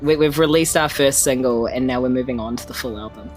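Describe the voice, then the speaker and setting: A woman's voice: very deep voice, a little fast, a little mellow, faint music behind. A woman in joking, casual banter as a player in a tabletop game. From New Zealand.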